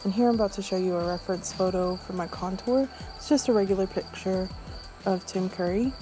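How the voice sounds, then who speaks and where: An unwell woman with throat trouble giving a casual makeup tutorial voice-over in a low-energy, subdued manner. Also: fairly quick, over music. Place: voice-over recorded apart from the footage.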